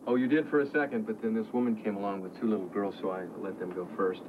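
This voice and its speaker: A man's voice: on the low side, level tone, fast, lightly acted. An actor playing a charming man passenger who teases and flirts in a playful, bantering manner. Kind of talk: 1980s TV movie romantic comedy. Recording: muffled TV audio with cabin noise.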